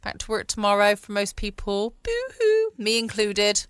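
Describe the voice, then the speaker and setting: A woman's voice: mid-range voice, sing-song, normal speed, smooth, no echo. Chatty British woman, close and dry. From United Kingdom. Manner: chatty, self-deprecating, asks listeners rhetorical questions. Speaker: podcast host. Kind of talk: casual late-night solo chat. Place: home, close dry recording.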